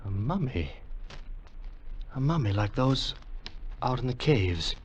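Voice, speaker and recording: An actor playing an earnest young adventurer in love, speaking in a wondering, halting, dramatic 1940s radio manner. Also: male voice, medium pitch, animated, a little hiss or hum. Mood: amazed.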